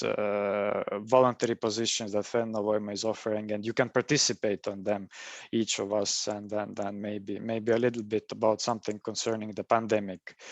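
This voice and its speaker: A man's voice: on the low side, dry recording. A young man from Estonia with an Estonian accent speaks in a career webinar, hesitating often and repeating "and, and" as he restarts his sentences. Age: young adult. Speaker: mechanical engineer on a career panel. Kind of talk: webinar talk.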